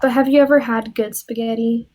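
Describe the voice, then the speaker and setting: A woman's voice: fairly high pitch, steady tone, fairly quick, mellow. A young woman co-hosting a casual podcast, joking playfully with her friends. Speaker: podcast co-host. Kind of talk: casual chat.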